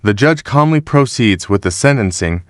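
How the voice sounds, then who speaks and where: A man reading scripted voice-over commentary in a steady, disapproving tone. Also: mid-range voice, normal speed, no room sound, clean studio sound. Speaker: narrator.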